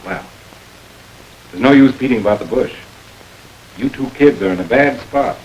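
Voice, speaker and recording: A man's voice: medium pitch, a little mellow, natural voice, poor audio. A man playing a stern radio-drama police investigator. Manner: firm, measured interrogating. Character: stern middle-aged male police investigator. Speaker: police lieutenant. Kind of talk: 1940s radio acting. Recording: hissy old radio recording.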